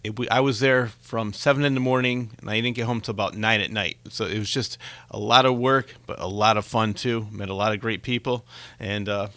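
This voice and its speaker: A man's voice: lowish voice, steady tone, fairly quick, fairly bright tone, dry recording. A man hosting a conversational radio-style interview show, talking with a guest.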